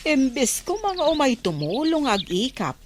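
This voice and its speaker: A woman's voice: high-pitched, very animated, unhurried, slight background noise. A woman playing a scolding, exasperated village wife in an Ilocano radio comedy, nagging emphatically with an Ilocano Filipino accent. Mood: complaining.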